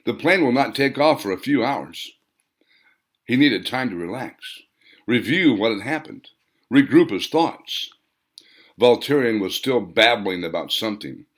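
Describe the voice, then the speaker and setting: A man's voice: medium pitch, expressive, unhurried, a bit bright. A man reading his own fiction as a dramatic audiobook narration, with emphatic, theatrical phrasing. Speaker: author reading own novel.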